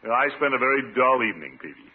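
An actor playing a pompous, blustery middle-aged American water commissioner in a classic radio comedy, with a theatrical manner. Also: male voice, medium pitch, sing-song, racing, breathy edge. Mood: chatty, grumbling. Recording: tinny, noisy old radio recording.

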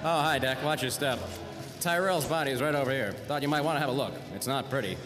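A man's voice: mid-range voice, quick pace, lightly acted. A man playing a chatty, unfazed homicide cop in a 1940s radio drama, breezy and casual. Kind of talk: 1940s radio noir acting. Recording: long reverberant hall sound. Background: music.

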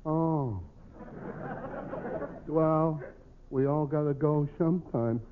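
Slow, dopey, high-voiced New dimwit. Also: male voice. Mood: baffled, placid. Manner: dopey, slow, literal-minded. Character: dim-witted, good-natured, slow male tavern regular. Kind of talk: 1940s radio comedy acting. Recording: tinny, faintly noisy old radio recording.

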